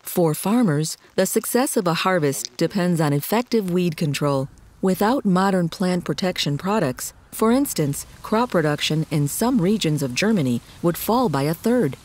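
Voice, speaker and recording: A woman reading scripted documentary narration in a measured, articulate, explanatory way. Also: fairly low pitch, expressive, darkish tone.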